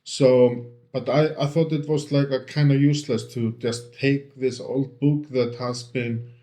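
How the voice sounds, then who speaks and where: An Icelandic man speaks slowly and with care, his voice in the middle range. He often pauses on "uh" and starts his sentences over. He speaks English with an Icelandic accent as a guest in a casual interview at home. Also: medium pitch, fairly even intonation, dark tone. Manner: hesitant, many fillers and restarts. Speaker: interview guest, book restorer.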